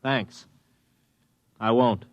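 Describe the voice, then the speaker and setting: A man's voice: mid-range voice, steady tone, very slow, no room sound, lo-fi sound. An actor playing a blunt, determined veteran spaceman in a 1950s radio drama. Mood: curt, determined. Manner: blunt, plainspoken. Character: impatient, determined veteran spaceman, male, plainspoken. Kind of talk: 1950s radio drama acting with first-person narration.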